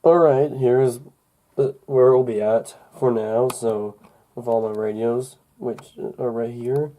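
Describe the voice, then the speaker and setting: Young man with a very dark, muffled tone. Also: mid-range voice, steady tone. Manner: halting, unscripted, thinking aloud. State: recovering from a cold. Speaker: hobbyist vlogger. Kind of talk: casual unboxing and setup narration. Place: indoors at home.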